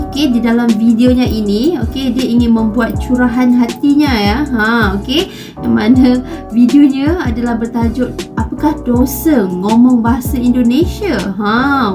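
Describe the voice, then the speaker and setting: A woman's voice: a little high, moderate pace, few pauses, music in the background. A Malaysian woman with a Malaysian accent hosting a reaction video in a chatty way, saying "okey" and "guys" a lot. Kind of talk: casual reaction chat.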